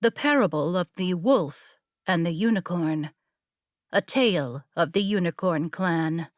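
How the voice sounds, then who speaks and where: A woman narrating a scripted story as an audiobook, in a measured, literary storytelling manner. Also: lowish voice, animated, no room sound, studio quality.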